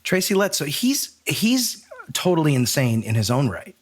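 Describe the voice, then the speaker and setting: A man's voice: on the high side, very animated, moderate pace. A man hosting a podcast in a casual, informal way, talking in loose run-on anecdotes. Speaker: podcast host. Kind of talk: casual interview chat.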